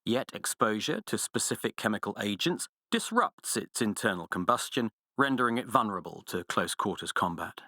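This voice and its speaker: An actor playing a cold, pompous, sadistic scientist villain in a video game, speaking with condescending, precise diction. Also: male voice, fairly low pitch, very animated, moderate pace, hardly any pauses, sharp tone, no room sound, clean studio sound, exaggerated voice. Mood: clinical detachment. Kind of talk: video game villain voice acting, monologues and research logs.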